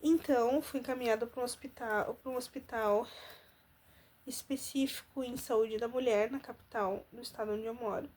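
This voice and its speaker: A woman's voice: on the high side, fairly even intonation, normal speed, darkish tone. A woman in her thirties, nasal from congestion, earnestly telling her own story in a personal vlog with frequent restarts. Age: 30s. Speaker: vlogger.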